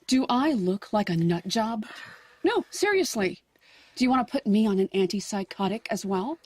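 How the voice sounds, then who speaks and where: A woman's voice: medium pitch, lively intonation, normal speed, slightly rough. An actress playing a prickly, defensive middle-aged mother who bristles at the doctor. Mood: indignant. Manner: sharp, indignant. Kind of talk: audio drama acting.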